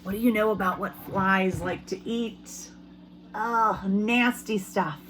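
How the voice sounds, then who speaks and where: A woman teacher giving an expressive read-aloud lesson for young children, pausing to ask them questions. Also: medium pitch, slight background noise, low-quality recording. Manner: expressive storybook reading. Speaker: elementary school teacher.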